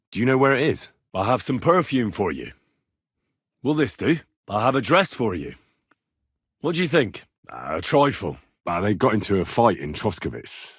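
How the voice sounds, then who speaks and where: An actor playing an earnest, blunt young medieval squire in a video game, plain-spoken and a little husky. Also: male voice, mid-range voice, dry recording, clean studio sound. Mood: polite. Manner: plain-spoken, polite to nobles, bluntly challenging when provoked. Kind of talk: video game dialogue acting.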